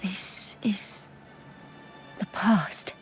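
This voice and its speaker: An actress playing a brooding, resolute young heroine in a video game, speaking with serious intensity. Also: female voice, medium pitch, drawn-out words, faint music behind. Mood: hushed, pained. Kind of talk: dramatic game voice acting.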